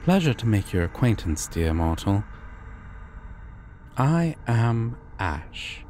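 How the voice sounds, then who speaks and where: A man acts out a polite, calmly mischievous god of dreams in an intimate audio roleplay. His voice is on the low side and moves with lively inflection, with formal phrasing and deliberate pauses. Faint cricket-like ambience sits behind him. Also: slight background noise. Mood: composed, grand. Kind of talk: immersive roleplay addressed to the listener.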